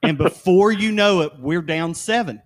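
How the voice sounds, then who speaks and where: A man's voice: high-pitched, normal speed. A man co-hosting a casual sports chat, teasing his friend as he tells a story.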